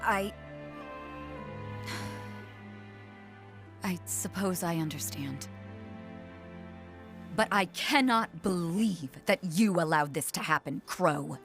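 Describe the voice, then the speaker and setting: A stern cartoon officer, young and formal. She scolds in clipped phrases with wide swings of pitch over background music. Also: animated, slow, a bit bright, full character voice. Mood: grudging, disapproving. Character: strict, reproachful young adult military woman. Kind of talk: animated series drama acting. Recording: music behind the voice.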